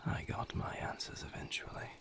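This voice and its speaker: A man playing a brooding vampire lover in an intimate audio roleplay, confiding his grim past in a halting, somber, breathy near-whisper. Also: close to an everyday voice. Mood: weary, regretful.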